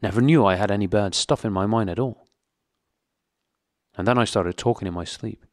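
A man's voice: lowish voice, normal speed, darkish tone, no echo, studio quality, natural voice. A man voicing a frightened, pudgy middle-aged prisoner who pleads eagerly and nearly blubbers.

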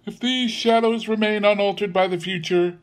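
A man's voice: high voice, steady tone, moderate pace, voice pushed up, close to an everyday voice. A man voicing a stern, solemn spirit who rebukes in a grave, oratorical manner.

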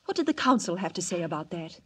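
An actress playing a worried, weary wife of a scientist in a theatrical, plaintive old-time radio drama style. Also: female voice, on the low side, big pitch swings, quick pace, heavy background noise. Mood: uneasy.

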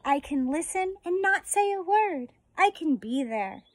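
An American woman in her forties, a teacher reading a children's picture book aloud outdoors with deliberate, expressive phrasing. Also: extremely high pitch, no echo. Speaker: elementary school teacher reading a story. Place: outdoors on a residential deck.

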